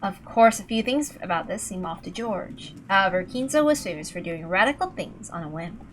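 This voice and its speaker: A woman's voice: medium pitch, expressive, brisk, slight background noise. A woman reading scripted narration from a visual novel. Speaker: reader of a visual novel playthrough. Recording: faint music behind the voice. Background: faint music.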